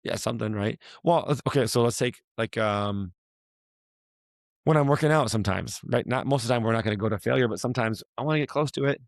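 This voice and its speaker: A man hosting a casual talk, his voice hoarse and raspy from a week of yelling, rambling and restarting as he asks questions. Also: mid-range voice, fast talker, no room sound, clean studio sound. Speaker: podcast host, fitness coach. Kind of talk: casual chat.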